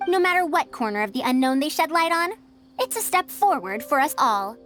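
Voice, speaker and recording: High-pitched, animated scholarly woman mentor. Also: brisk, a little hiss or hum. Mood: instructive. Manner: instructive, precise, formal wording. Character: scholarly senior female teacher, self-assured, encouraging mentor. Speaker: game character, mentor. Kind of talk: scripted video game dialogue.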